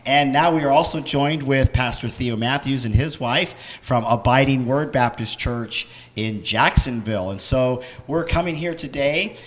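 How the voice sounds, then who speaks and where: A man with a hoarse, worn-out voice, a pastor hosting a question and answer panel in a halting, casual way. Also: medium pitch. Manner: plain, halting, many fillers. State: hoarse, lost his voice. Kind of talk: casual church panel talk.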